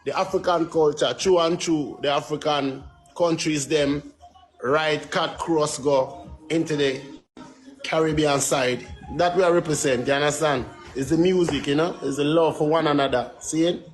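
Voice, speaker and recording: A man in a casual interview, talking in a relaxed way with patois-flavored phrasing. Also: a little high, moderate pace, soft background music, low-quality recording.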